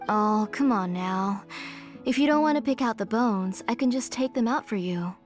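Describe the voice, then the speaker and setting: A woman's voice: a little high, moderate pace, soft background music, close to an everyday voice. Woman acting an older motherly game character. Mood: motherly, fussing. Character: grieving, doting older mother, retired forger.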